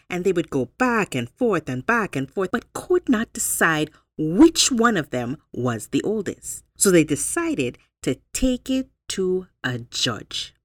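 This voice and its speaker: Female folktale host: expressive intonation, slow pacing, dramatic emphasis. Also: sits low, no room sound. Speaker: storyteller, host. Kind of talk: animated folktale storytelling.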